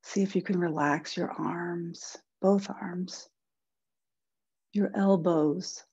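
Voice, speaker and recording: A woman leading a guided body-scan meditation in a soft, breathy voice, gentle and soothing, with unhurried pauses. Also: fairly low pitch, fairly even intonation, lo-fi sound. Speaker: guided relaxation instructor.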